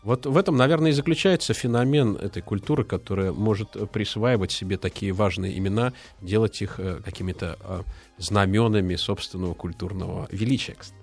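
A man co-hosting a live radio talk show, speaking thoughtfully and pausing often with fillers. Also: lowish voice, creaky, husky, faint music behind. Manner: thoughtful, hesitant with many fillers. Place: radio studio. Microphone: studio microphone.

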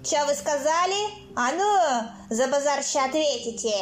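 A woman's voice: high-pitched, lively intonation, measured pace, faint music behind. A woman voicing a cocky, thuggish construction tool in a storybook, with a slangy, threatening manner.

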